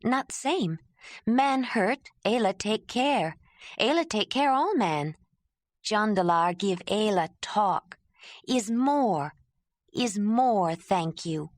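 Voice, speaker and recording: A woman voicing a young cave woman healer who speaks in broken, halting phrases and pleads to be understood. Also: medium pitch, expressive, no echo, studio quality, voice pushed up. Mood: earnest, pleading. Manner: broken simple speech, pleading.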